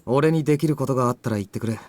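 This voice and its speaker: A man's voice: medium pitch, very fast, darkish tone, no room sound, lightly acted. A man playing an eager, casual teenage boy in a visual novel. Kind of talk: Japanese visual novel voice acting.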